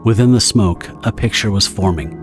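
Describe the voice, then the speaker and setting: A male narrator delivers a scripted dramatic story in a low voice. Music plays clearly behind him. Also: moderate pace.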